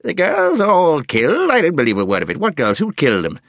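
A man playing an old, irascible, hard-of-hearing dwarf in a storybook, loud, blustering and indignant. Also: on the high side, wildly swooping pitch, quick pace, no echo, clean studio sound, voice pushed up, exaggerated voice. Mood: irascible, baffled.

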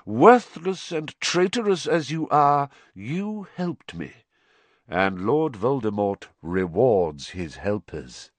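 A cold, cruel dark lord, as a man puts him on, speaking softly with measured, lazy menace. His voice sits a little raised and hushed, rising and falling a lot across each line, in a dry storybook reading. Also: mid-range voice, very animated, no room sound.

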